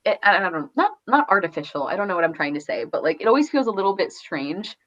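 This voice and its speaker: A woman's voice: mid-range voice, sing-song, fast, fairly bright tone, dull audio. A woman chatting casually about books in a rambling, conversational, giggly way. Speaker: book discussion host. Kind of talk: casual book discussion chat.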